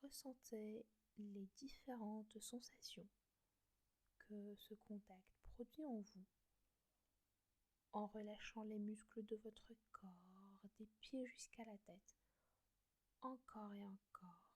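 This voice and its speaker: Female meditation guide, breathy whisper, slow and mellow. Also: mid-range voice. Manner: soft slow whisper, gentle instructions.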